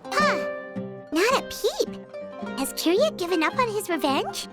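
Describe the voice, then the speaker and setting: Tiny female game sidekick, squeaky childlike voice, very high, lively swoops, chatty, naive. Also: measured pace, music in the background, exaggerated voice. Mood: puzzled, bored. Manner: speaks of herself in third person. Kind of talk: video game character acting.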